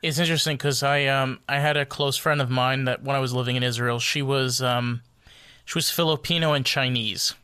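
Man, bright firm tone, middle pitch, reflective chat, halting phrasing, dry recording. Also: fairly even intonation, clean tone, no echo. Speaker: conversation participant.

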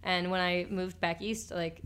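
A woman musician with a slightly low voice joins a casual chat about new songs. She talks in an easygoing way, hesitates with ums and laughs readily. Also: steady tone, unhurried, a bit bright, a little hiss or hum. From New York.

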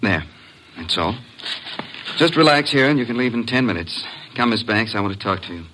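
Actor as a stern doctor, noisy old radio. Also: male voice, lowish voice, animated, fairly quick, natural voice. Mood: businesslike. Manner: clipped, firm, dismissive. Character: stern, cold young doctor rejecting a former lover. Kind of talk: old-time radio drama acting.

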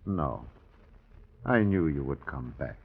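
A man's voice: a bass voice, big pitch swings, takes it slowly, creaky, breathy edge, full character voice. A man playing a pleading, tender old father in old radio drama style. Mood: tender, overcome. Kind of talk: 1950s radio drama acting. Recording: dull vintage radio broadcast.

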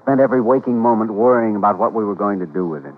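An actor playing an earnest, easygoing young male doctor in an old-time radio drama, in a gentle conversational manner. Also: fairly low pitch, animated, quick pace. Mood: warm, reflective. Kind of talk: 1940s-50s radio drama acting. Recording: tinny old radio recording, music behind.

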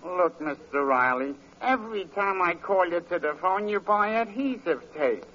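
A man's voice: high-pitched, expressive, normal speed, sharp tone. A man playing a fed-up, peevish shopkeeper in a 1940s radio comedy, complaining with dry sarcasm. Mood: exasperated. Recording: dull, noisy old radio recording.

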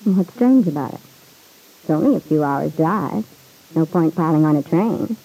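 A good-natured young woman sharing an apartment in a vintage radio serial. Her voice is lowish and mellow with a gravelly creak. She chats in a breezy, folksy, slangy way. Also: expressive, normal speed, heavily acted, poor audio. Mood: casual, chatty. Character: Lily, chatty, plain-spoken, good-natured young woman roommate. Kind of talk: old-time radio serial acting.

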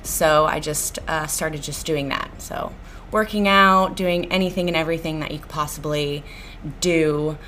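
A woman yoga teacher interviewed as a podcast guest, with a loud, projecting voice, talking with many hesitant ums. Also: sits low, steady tone, measured pace, slight background noise.

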